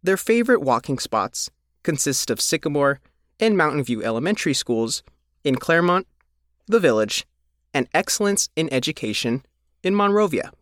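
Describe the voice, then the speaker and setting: A man reading a scripted news feature as its reporter, in measured, deliberate short phrases. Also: on the high side, sing-song, a bit bright, no room sound. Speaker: reporter reading his own written feature.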